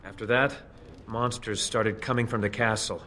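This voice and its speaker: A man's voice: on the low side, steady tone, moderate pace, slight background noise. An actor playing a frightened man caught spying, stammering and pleading. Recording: game audio over loud music. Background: game music.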